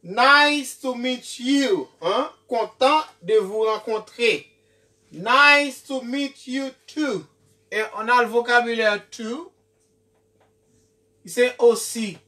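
A young man teaching an English lesson at a whiteboard, slowly repeating each phrase and often checking with "ok?". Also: extremely high pitch, bright, forceful tone. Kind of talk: classroom lesson. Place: small indoor room at a whiteboard. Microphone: camera mic from a few feet away. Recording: voice from far off the mic.

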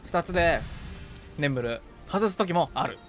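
A man streaming a video game in casual co-op chat, reacting playfully and excitably and often talking to himself as he plays. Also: on the high side, measured pace, faint music behind.

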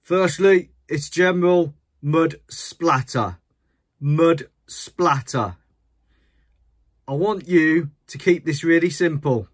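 A man's voice: a little high, takes it slowly, dry recording, studio quality. A man playing a blunt army general who likes things simple, speaking emphatically and condescendingly in a toy-soldier story. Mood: blunt, insistent.

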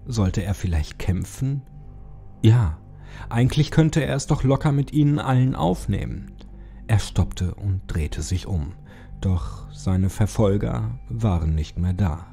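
A man reads a horror story as a dramatic audiobook. His voice is mellow and dark, with a gravelly creak. Soft music plays faintly behind him. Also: fairly low pitch, expressive, a little slow. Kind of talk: dramatic scripted audiobook narration. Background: background music.

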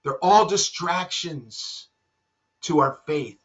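A middle-aged man preaching a sermon at his desk at home, his voice pitched high for a man. He speaks earnestly and sinks into hushed low tones to stress a point. The webcam sound is dull and lo-fi. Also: takes it slowly. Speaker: pastor. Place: home room with bookshelves.

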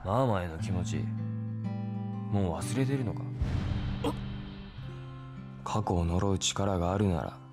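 An actor playing a tough, blunt young male street fighter in a Japanese game drama, gruff and rough-spoken. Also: deep voice, unhurried, pauses often, loud music behind the voice. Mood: angry, exhorting. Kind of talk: Japanese video game cutscene acting.

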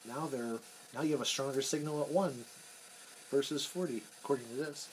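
A man's voice: mid-range voice, a little fast. A man giving a casual, hands-on technical demonstration, explaining informally and sometimes trailing off. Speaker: radio hobbyist demonstrator. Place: cluttered indoor radio workshop. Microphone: handheld camera, off screen. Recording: noisy room, handheld camera recording.

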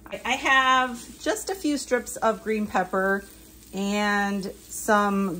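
A woman's voice: medium pitch, a little hiss or hum, poor audio. An older woman and home cooking vlogger, chatting casually and explaining each step plainly with small fillers in her kitchen.

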